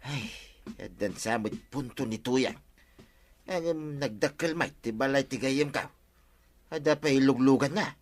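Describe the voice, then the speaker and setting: A man's voice: medium pitch, measured pace, lightly acted. A man playing a gullible, eager villager in an Ilocano radio comedy, insistent and speaking with an Ilocano accent. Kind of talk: Philippine radio comedy drama acting.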